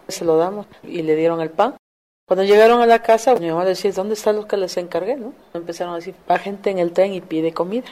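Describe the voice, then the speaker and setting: A woman's voice: on the low side, warm tone. A middle-aged woman from Mexico, earnestly recounting her community work in a documentary interview with everyday fillers. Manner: plain, earnest storytelling with fillers. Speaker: community volunteer coordinator.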